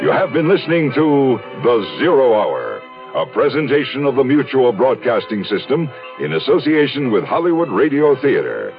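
A man's voice: mid-range voice, very animated, normal speed, soft background music. A man announcing scripted credits in the style of 1970s American radio. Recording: dull 1970s radio recording.

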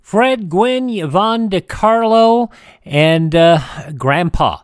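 A radio host reading this-day-in-history items in an informal scripted style, halting at times and correcting small stumbles. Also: medium pitch, expressive, clear, resonant tone, no room sound, clean studio sound, faint music behind.

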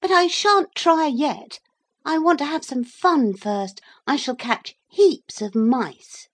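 A woman's voice: high voice, animated, slow pace, voice pushed up. A woman voicing a stubborn, indignant young boy turned into a cat in a children's story, plucky and protesting. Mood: defiant. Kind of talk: children's storybook character reading.

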